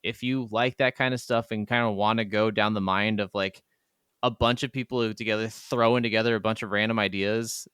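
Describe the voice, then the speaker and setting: Clear-voiced man chatting casually, dry close sound. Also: on the low side, steady tone, a little fast, a bit bright, dry recording. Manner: rambling, peppered with "like". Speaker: gaming podcast co-host. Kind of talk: casual chat about video games.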